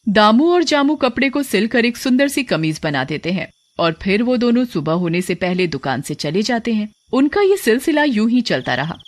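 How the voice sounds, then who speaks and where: A woman reading a scripted cartoon story as narrator, in a plain, measured storytelling manner. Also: on the high side, big pitch swings, dry recording.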